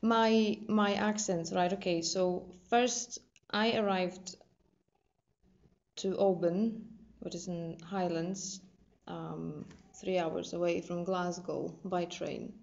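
A young woman from Poland speaks casually with a clear Polish accent, pausing often with ums and restarts. Her voice sits low and mellow with little melody. The recording sounds dull and lo-fi. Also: steady tone. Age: 20s. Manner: hesitant, many ums and restarts. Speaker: drama student. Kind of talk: casual personal talk.

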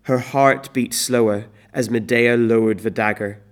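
A man playing a solemn storyteller, giving deliberate scripted narration of a Greek tragedy. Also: mid-range voice, steady tone, natural voice.

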